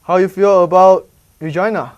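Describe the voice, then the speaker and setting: A young man from Taiwan, an English speaker, talking in halting English as a student reporter in a chatty news segment. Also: sits high, muffled recording. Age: young adult. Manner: halting non-native English, excitable.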